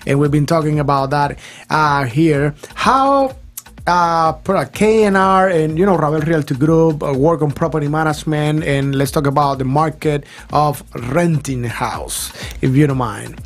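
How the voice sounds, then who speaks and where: A man's voice: fairly high pitch, moderate pace. A man hosting a live bilingual radio talk show in a chatty, informal way. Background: music.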